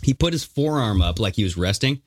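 A man's voice: fairly low pitch, animated, fairly quick, a little mellow, dry recording, clean studio sound. A man hosting a podcast, telling stories in loose casual chat, swearing and laughing often. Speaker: podcast host.

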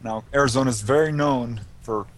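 A man's voice: mid-range voice, brisk, a little hiss or hum. A man giving informal, explanatory answers as an interview guest. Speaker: guest, UFO researcher.

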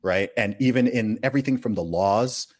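A man's voice: lowish voice, moderate pace, darkish tone. A man born in the UK and raised in Saudi Arabia, hosting a conversational podcast and making emphatic, opinionated points with repeated words and checking tags. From born in the UK, grew up in Saudi Arabia. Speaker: podcast host.

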